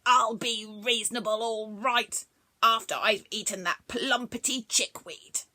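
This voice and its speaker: A woman voicing a greedy, spiky little female creature in a storybook, with a high, squeaky voice and a wailing, dramatic manner. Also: fairly even intonation, unhurried, sharp tone. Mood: stubborn. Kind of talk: storybook read-aloud.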